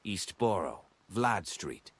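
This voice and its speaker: A man voicing an anxious, ailing gentleman in an audiobook, nervous and earnest. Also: low-pitched, very animated, slow, slightly rough, no echo, heavily acted. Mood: anxious, polite. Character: anxious, ailing gentleman client, timid and hopeful. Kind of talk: audiobook character dialogue.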